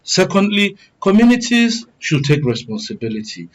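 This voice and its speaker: A Nigerian man speaks as a guest on a radio panel. His pitch is a bit above average. He argues methodically in formal, long-winded sentences, one point after another. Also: very animated, moderate pace. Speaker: studio guest, good governance advocate. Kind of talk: live panel commentary.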